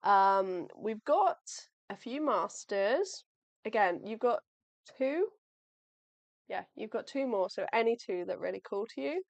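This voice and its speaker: A woman's voice: mid-range voice, lively intonation, measured pace, vocal fry, no room sound. A young woman live-streaming as she packs shop orders. She talks in a chatty, friendly way, thinks aloud and apologizes often, and her voice is wearing out. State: losing her voice. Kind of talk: casual livestream chat. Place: home workspace, packing orders.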